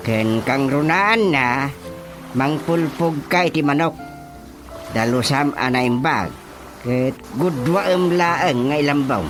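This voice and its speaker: An actor playing a village chairman and folk healer giving ritual instructions in a radio drama, deliberate, with drawn-out hums and an Ilocano accent. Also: on the high side. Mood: instructing. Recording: faint music behind the voice.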